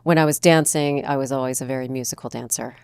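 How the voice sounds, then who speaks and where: A woman's voice: a bass voice, fairly even intonation, quick pace, vocal fry, a little mellow, smooth, dry recording, clean studio sound. A woman hosting an interview podcast, attentive and encouraging, chiming in with short replies. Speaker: podcast host. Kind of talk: conversational interview.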